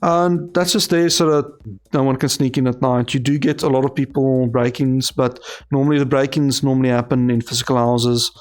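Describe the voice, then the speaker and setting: A man's voice: medium pitch, moderate pace, few pauses. A young man from South Africa, Afrikaans native, speaking English with a mixed South African, English and Scottish accent, chatting casually on a stream. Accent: South African English with English and Scottish traces. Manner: relaxed, chatty, frequent restarts and laughs. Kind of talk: casual chat with co-host and viewers.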